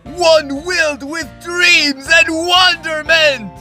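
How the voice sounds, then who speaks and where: A man's voice: very high-pitched, fairly even intonation, slow pace, sharp tone, faint music behind, heavily acted. A man voicing a theatrical young male troupe star in an anime fan dub, grandiose and declamatory. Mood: rousing, triumphant.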